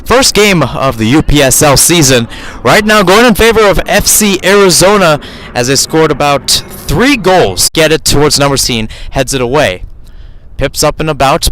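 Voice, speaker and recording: A man's voice: mid-range voice, fairly bright tone, low-quality recording. A man calling a live soccer match as a radio play-by-play commentator, talking in a conversational, improvised way with asides and self-corrections.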